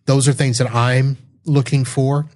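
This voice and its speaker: Male tech reviewer, mid-pitched, casual and explanatory, slightly halting, unscripted delivery. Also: flat delivery, a little mellow. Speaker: tech reviewer and podcast host on a live stream.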